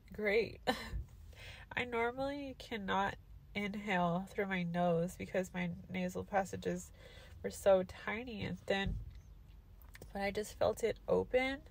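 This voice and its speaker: Woman, young adult, nasal congested voice, gravelly edges, groggy and loopy, phone selfie in a car. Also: medium pitch, fairly even intonation, takes it slowly, long pauses. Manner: loopy and drowsy from medication. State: nasal, congested after sinus surgery. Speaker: vlogger. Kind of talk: casual recovery vlog. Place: parked car. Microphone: phone at arm's length.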